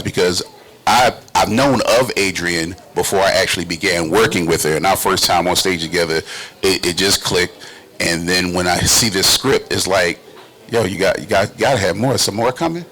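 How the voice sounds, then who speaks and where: A man's voice: deep voice, sharp tone, slightly rough, poor audio. A man, a stage actor, talking casually and informally on an interview panel.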